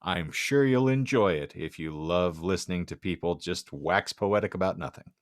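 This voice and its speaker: A man's voice: low voice, moderate pace. A man hosting a comedy podcast, speaking in a wry, wordy, mock-grandiose way as he banters and signs off. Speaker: podcast host.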